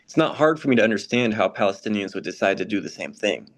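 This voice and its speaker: A man performing in readers' theater as a sympathetic, reflective American, speaking in a thoughtful, measured, conversational way. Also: on the low side, expressive, lightly acted.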